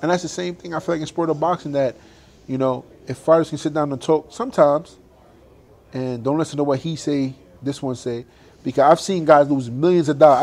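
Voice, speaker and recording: A man's voice: fairly high pitch, darkish tone. A young adult man, a boxer, talking animatedly and emphatically in a casual interview, laughing often.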